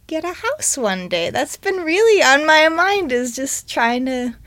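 Woman, twenties, slow unhurried speech, fairly high voice, dry sound. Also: no room sound. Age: 20s. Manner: relaxed, unhurried, casual. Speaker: interview guest. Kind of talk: casual conversation.

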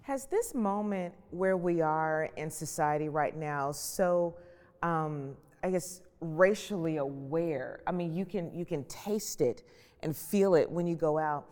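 A woman's voice: low-pitched, takes it slowly, a little mellow. A woman hosting a panel interview, putting questions in a conversational way. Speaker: host and moderator. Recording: long echoing reverb.

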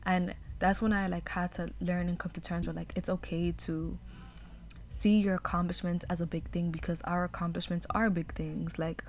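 Rambling young woman, low voice. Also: flat delivery, moderate pace, darkish tone, a little hiss or hum. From New York City. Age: 20s. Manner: rambling, heartfelt, frequent "like" fillers and casual swearing. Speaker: podcast host. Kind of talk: reflective solo podcast monologue.

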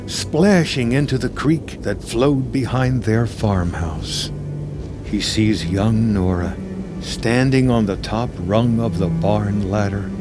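A man narrating a screenplay in the present tense with measured, dramatic storytelling. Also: medium pitch.

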